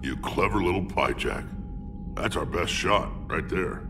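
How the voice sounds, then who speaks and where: A gruff alien warrior with a deep, gravelly voice. He talks bluntly, like a hardened veteran. Music plays behind him. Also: expressive, unhurried. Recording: game audio with music bed.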